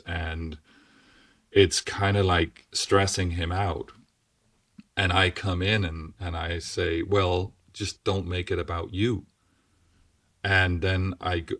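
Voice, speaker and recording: A man with a deep, strong voice talks through ideas in an earnest, explanatory way, often checking in with a quick "right?". His low voice sits well below most men's. The sound is dry and comes from a little away from the mic. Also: fairly bright tone, no echo, distant mic. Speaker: podcast guest. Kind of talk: casual explanatory conversation.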